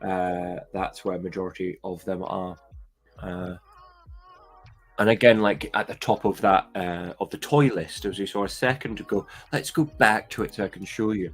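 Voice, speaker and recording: Low-voiced man with a light Scottish accent. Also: moderate pace, slightly rough, soft background music. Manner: rambling, hesitant, many restarts. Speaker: toy and claw machine vlogger. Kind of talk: casual chat to viewers.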